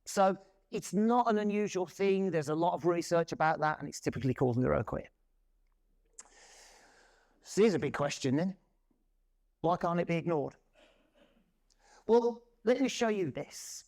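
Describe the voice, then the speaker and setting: A man in his forties giving a loud, lively presentation to a conference audience. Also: fairly high pitch, normal speed, pauses often. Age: 40s. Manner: loud, lively, self-deprecating. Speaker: conference speaker. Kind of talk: presentation talk. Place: conference room.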